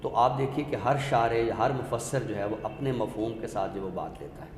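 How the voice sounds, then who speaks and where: Guy doing a careful teacherly talk on literature, goes back over points, echoey roomy sound, some noise. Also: medium pitch. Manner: deliberate, didactic, repeats points for clarity. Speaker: literary expert guest on a discussion show. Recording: echoey hall-like room with noise.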